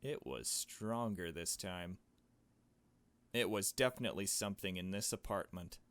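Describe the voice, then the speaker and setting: Low-voiced man reading a game story aloud. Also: a little slow, fairly bright tone. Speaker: let's player. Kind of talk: reading a visual novel aloud with casual asides.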